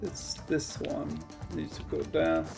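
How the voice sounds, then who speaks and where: A man giving casual game commentary as a streamer, musing to himself in a halting way. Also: mid-range voice, very animated, vocal fry, music in the background. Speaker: gaming streamer.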